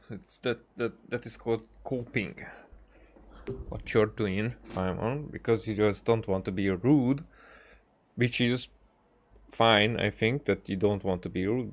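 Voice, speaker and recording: Low-voiced man rambling over a game. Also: a little hiss or hum. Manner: halting, repeats and restarts phrases. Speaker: livestreamer playing a game. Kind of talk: casual rambling game commentary.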